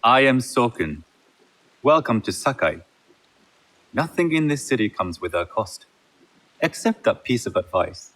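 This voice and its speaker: An actor playing a shrewd, composed merchant heir in a game set in feudal Japan, speaking in a measured, formal way. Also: male voice, mid-range voice, big pitch swings, a bit bright, low-quality recording. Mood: measured, businesslike. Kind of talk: video game cutscene acting.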